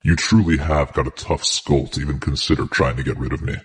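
A man voicing a sinister, domineering shadowy game villain with a slow, threatening, taunting manner. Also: a bass voice, steady tone, slightly rough, heavily acted. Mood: menacing.

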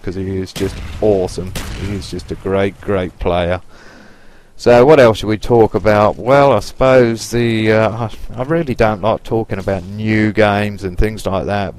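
A man in his late forties with a Lancashire accent and a fairly low voice talks in a rambling, cheeky way, as if to regular viewers. Faint game gunfire rattles behind him. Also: darkish tone, a little hiss or hum. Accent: Lancashire English. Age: 40s. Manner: chatty, rambling and cheeky, with mild swearing. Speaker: gaming YouTuber. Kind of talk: casual gameplay commentary.

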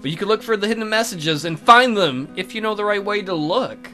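A man's voice: high voice, lively intonation, fairly quick, pushed and bright. A man co-hosting a let's play, acting out an enigmatic tutor's lines from a visual novel in a jokey way. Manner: jokey ad-libbing. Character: enigmatic male tutor hinting at secrets. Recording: guitar music behind.

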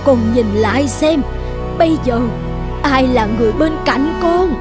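A woman's voice: sits high, unhurried. A woman playing a scheming, snobbish middle-aged mother in a cartoon drama, speaking in an emphatic, admonishing way. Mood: hurt, reproachful. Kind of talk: dubbed cartoon drama acting. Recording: long reverb, music underneath.

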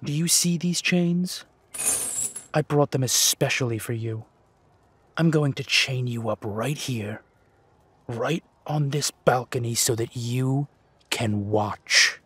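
Audio drama villain, played by a man: ruthless, coolly mocking, husky, slow and deliberate. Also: medium pitch, a bit bright, no room sound. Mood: fierce, vengeful. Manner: slow, deliberate, menacing delivery.

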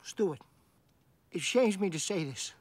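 Film mouse father, smooth-talking, ingratiating, swooping intonation, overly polite. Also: sits high, lively intonation, takes it slowly, darkish tone, no room sound, heavily acted. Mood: solemn, smug. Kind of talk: family film voice acting.

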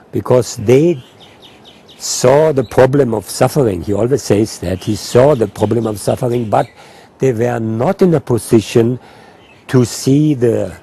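An older man, a Buddhist monk, speaking reflectively and haltingly in an outdoor documentary interview. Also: lowish voice, creaky, dark tone, slightly rough. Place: outdoors in a green garden.

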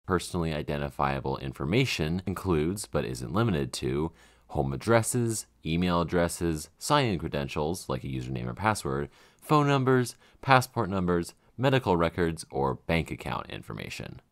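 A man with a very deep voice reads a formal policy passage aloud. His delivery is slow and deliberate, and his pitch swings widely within that low register. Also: sing-song. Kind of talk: reading a policy text aloud.

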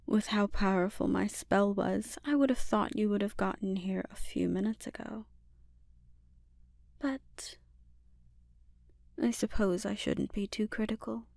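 A menacing sorceress acted out by a woman speaks very slowly, with a creaky edge to her voice. She is calm, dominant and taunting, often slipping into a whisper. The ASMR roleplay is close and dry. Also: mid-range voice, no room sound. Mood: mocking, composed. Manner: slow, deliberate, taunting, lapsing into breathy whispers. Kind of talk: ASMR roleplay, intimate scripted monologue.

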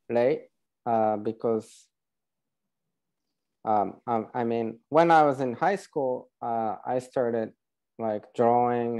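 A man, a painter being interviewed on a video call, recalling his life casually and haltingly. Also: medium pitch, dark tone. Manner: halting, searching for words. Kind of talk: casual personal recollection. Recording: slightly dull video call audio.

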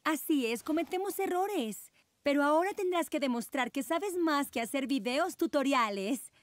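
A woman's voice: high-pitched, animated, normal speed, no room sound. A woman voicing a sensitive, brainy young schoolgirl in a dubbed children's cartoon, sincere and articulate. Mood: friendly. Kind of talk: animated children's cartoon dub. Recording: music behind the voice.

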